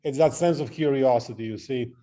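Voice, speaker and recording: A middle-aged man, answering interview questions in a halting, reflective way and often listing things out. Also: medium pitch, dark tone. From Romania. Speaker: interview guest, artist and photographer. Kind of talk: interview conversation.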